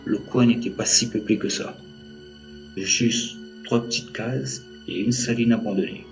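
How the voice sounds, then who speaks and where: A man narrating a scripted audio drama as a storyteller, in measured short phrases. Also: on the low side, fairly even intonation, low-quality recording. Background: music.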